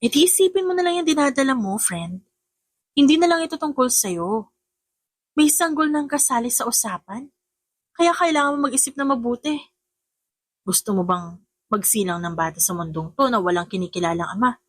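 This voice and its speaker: A woman's voice: a little high, expressive, normal speed, long pauses, husky, no echo. A woman voicing a supportive, sensible young female friend in an audiobook, earnest and persuasive. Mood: earnest advising. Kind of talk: audiobook character acting.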